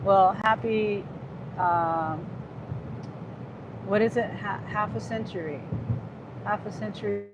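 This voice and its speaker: A woman's voice: mid-range voice, slow, long pauses, very noisy. A woman in her fifties, a gig delivery driver, chatting casually on a livestream and reading out viewer comments in a rambling way. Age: 50s. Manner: chatty and rambling, with frequent restarts.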